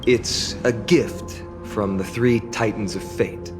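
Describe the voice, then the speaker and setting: A young man voicing a polite, earnest young warrior guide in a video game, explaining in a formal, measured way. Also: fairly low pitch, fairly even intonation. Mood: solemn, courteous. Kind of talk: scripted video game dialogue. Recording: orchestral game music behind the voice.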